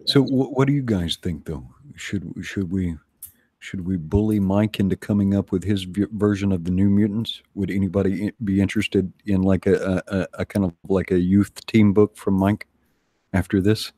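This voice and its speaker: A man chatting casually as a livestream guest, halting and rephrasing as he goes. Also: low-pitched, steady tone, dark tone, slightly rough, no echo, studio quality. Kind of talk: casual chat.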